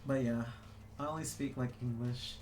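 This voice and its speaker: A young adult streamer talks loosely while drawing, wandering through thoughts with constant "like"s and restarts. The voice sits at an ordinary middle pitch. The room echoes noticeably, with a faint hum of ambience underneath. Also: fairly even intonation, moderate pace, a little mellow. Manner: rambling, full of "like" and restarts. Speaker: streamer reading chat. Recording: roomy room echo.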